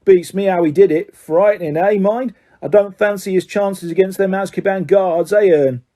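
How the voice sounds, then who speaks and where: Guy doing a gossipy young bus conductor, highish voice, soft mellow tone, keen to tell the tale. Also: normal speed, lightly acted. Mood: excited gossip. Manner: eager, gossipy, fond of tag questions. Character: chatty young male wizard bus conductor, gossipy and excitable. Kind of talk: audiobook character voice.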